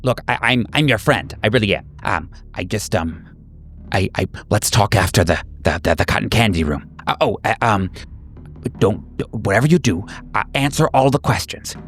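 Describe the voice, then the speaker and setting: A man's voice: lowish voice, expressive, normal speed, fairly bright tone. A man voicing a nervous, eager male patient in a horror tabletop game, stammering and sounding congested. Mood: fearful, hushed warning. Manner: stammering, repeating himself.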